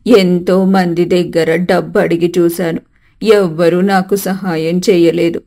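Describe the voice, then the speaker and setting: A woman's voice: lowish voice, level tone, measured pace, mellow, pitched below the performer's own voice. A woman voicing a desperate, apologetic old grandmother in a storybook tale, pleading and sorrowful. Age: older.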